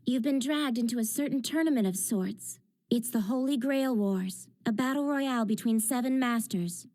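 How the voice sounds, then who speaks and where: Woman acting a high-voiced, haughty teenage girl mage. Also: moderate pace, close to an everyday voice. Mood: smug, explanatory. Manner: smug, explanatory, sharp-tongued. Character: haughty, brisk teenage girl mage, exasperated know-it-all. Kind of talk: English anime dub acting. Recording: loud music behind dialogue. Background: loud music.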